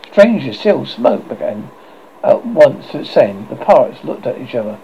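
Guy reading a storybook out loud, gravelly, lively pitch, trips over words, dull lo-fi sound w faint hiss. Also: moderate pace. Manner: unpolished reading with frequent stumbles and restarts. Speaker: audiobook reader. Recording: dull lo-fi recording with faint noise.

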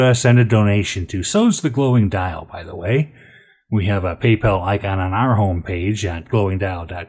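A man's voice: medium pitch, darkish tone. A man with an American accent casually hosting an old-time radio show.

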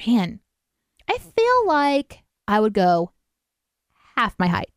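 A woman's voice: fairly high pitch, wildly swooping pitch, slow pace, background noise. A woman co-hosting a live stream in casual, giggly chat. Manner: chatty, giggly.

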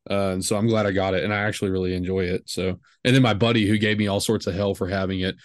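Young man, podcast host, low voice, dry sound, rambling, joking. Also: flat delivery, fast, no echo. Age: 20s. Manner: rambling, joking, lots of fillers. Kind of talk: casual podcast chat.

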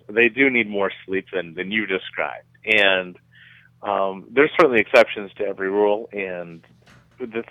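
A man's voice: lowish voice, fairly bright tone, no echo. Middle-aged man explaining, slightly muffled audio. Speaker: guest researcher being interviewed. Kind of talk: conversational radio interview explanation.

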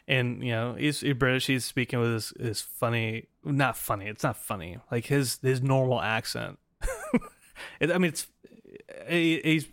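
A man's voice: mid-range voice, fairly bright tone, no room sound, clean studio sound. American man telling a rambling story. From United States. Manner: rambling, laughing, many restarts. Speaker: podcast co-host. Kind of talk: casual storytelling chat.

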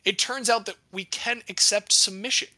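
A young man talks sports as a podcast host, quick to joke and swear. His voice sits high and sounds bright and forward. The recording is dry, with no room sound. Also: no room sound. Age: young adult. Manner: animated, jokey, swears casually. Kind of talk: casual sports chat.

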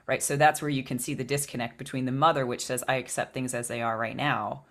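A woman with a low voice and vocal fry, talking through spiritual ideas in a reflective podcast chat with many restarts. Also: steady tone, fast, fairly bright tone.

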